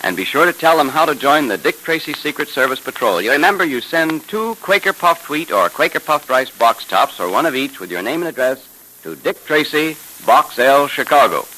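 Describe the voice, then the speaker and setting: Male 1930s radio announcer, American, emphatic sales delivery, forceful and lively, faint hiss. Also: on the high side, moderate pace, low-quality recording. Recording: hissy 1930s radio recording.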